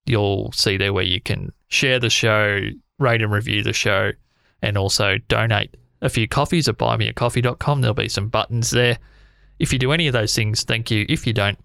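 A man's voice: lowish voice, vocal fry, fairly bright tone, no echo. Chatty male podcast host, dry close sound. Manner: chatty, enthusiastic, laughs easily. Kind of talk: casual podcast intro and interview.

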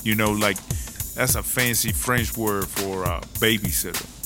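A man's voice: lowish voice, a little slow, pushed and bright. A man playing a brash, streetwise woman prisoner in a comedic audio drama, rambling indignantly in slang. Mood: animated storytelling. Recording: hip hop music behind the voice.

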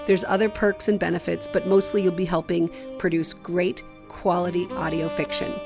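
Show creator, female, gravelly lower register, scripted listener appeal with light music underneath. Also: flat delivery, moderate pace.

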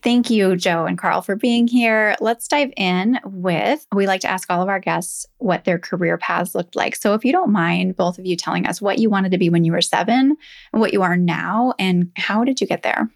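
A woman's voice: mid-range voice, moderate pace, gravelly. A woman co-hosting a podcast, speaking in a friendly interviewing style. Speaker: podcast co-host.

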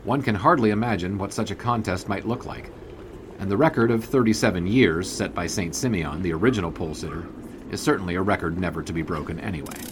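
An actor playing a wry, bemused 1920s newspaper sports writer, narrating in measured, formal and wordy prose for an audio drama. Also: male voice, low voice, background noise, lightly acted. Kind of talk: scripted first-person narration in audio drama.

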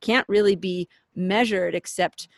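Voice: medium pitch, steady tone, measured pace, gravelly, dry recording, clean studio sound. A host talking reflectively in a casual conversation, searching for words.

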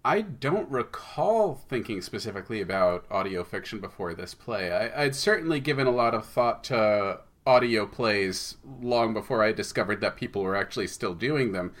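Male podcast guest, writer type. Bright, forward voice, analytical and conversational delivery. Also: on the low side, expressive, normal speed, hardly any pauses. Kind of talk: conversational interview.

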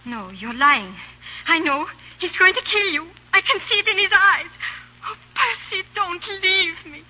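An actress playing an anguished, devoted wife, tender and pleading in 1930s radio melodrama. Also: female voice, very high voice, expressive, measured pace. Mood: desperate, pleading. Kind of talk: 1930s radio drama acting. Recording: muffled, noisy 1930s radio broadcast.

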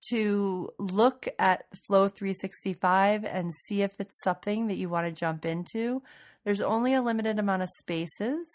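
Raspy-voiced woman host, getting over a cold. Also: mid-range voice. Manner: chatty and enthusiastic. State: recovering from a cold. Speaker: podcast host. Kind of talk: casual solo podcast intro.

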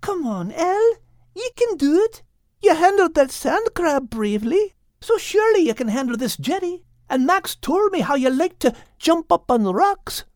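Casting: male reader as sweet-natured female dog, high-pitched, animated, coaxing, for a children's audiobook. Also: moderate pace, no room sound, clean studio sound.

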